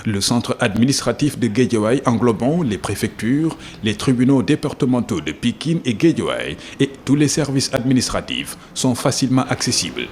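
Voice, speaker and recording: Give the voice of a male documentary narrator. His pitch sits in the middle for a man and moves up and down a great deal as he reads the script. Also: animated, moderate pace, hardly any pauses, darkish tone, slight background noise.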